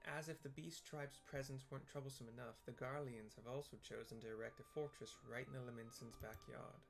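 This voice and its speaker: A man's voice: medium pitch, fairly even intonation, brisk, vocal fry. A man narrating a game playthrough, reading story dialogue aloud and describing the scenes. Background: game music.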